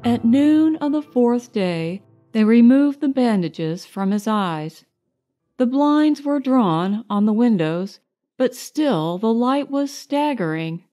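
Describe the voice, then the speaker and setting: A woman's voice: on the high side, lively intonation, slow, mellow, faint music behind. A woman narrating a scripted short story as an audiobook reader.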